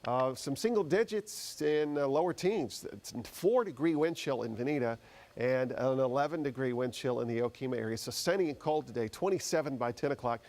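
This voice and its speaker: A man's voice: medium pitch, fairly quick, darkish tone. American man giving a conversational weather forecast. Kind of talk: live unscripted weather forecast.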